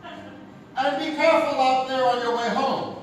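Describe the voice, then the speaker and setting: A man's voice: extremely high pitch, steady tone, a little slow. A man playing a sinister, theatrical host narrating an eerie mystery anthology in a live radio drama, with a dramatic, ominous delivery. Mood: darkly playful. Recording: very echoey theater, distant mic, noisy.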